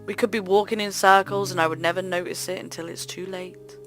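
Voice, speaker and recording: A woman hosting a let's play, reading visual novel narration aloud in an expressive, theatrical way. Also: on the low side, a little fast, soft background music. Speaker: host of a gaming let's play channel.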